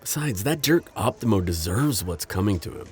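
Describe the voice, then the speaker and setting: A man playing a gentle, protective civilian friend in an audio drama, earnest and hesitant with a slight stammer. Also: lowish voice, sing-song, normal speed. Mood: caring, earnest. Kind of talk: naturalistic audio roleplay drama acting.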